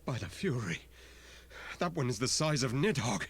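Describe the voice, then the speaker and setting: Earnest fantasy-game knight: formal, urgent, wildly varied pitch, faint background noise. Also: male voice, sing-song, normal speed. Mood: alarmed.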